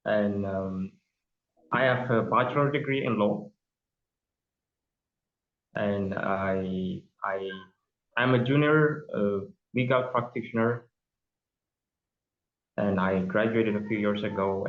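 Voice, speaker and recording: A man's voice: lowish voice, slow, long pauses. A young man with an Ethiopian accent, a judge speaking as a guest in a remote interview, often hesitating and repeating words as he searches for them. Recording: tinny phone line with echo.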